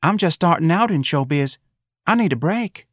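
Casting: naive young showgirl played by a man, pitched high, bubbly eagerness, animated audiobook dialogue. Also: moderate pace, no room sound, studio quality. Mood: eager.

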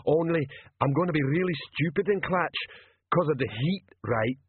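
A man voicing a slow-witted, earnest male troll sergeant with halting, simple speech, in a storybook reading. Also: a little high, lively intonation, husky, no room sound, narrow, tinny audio, voice pushed up. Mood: earnest worry.

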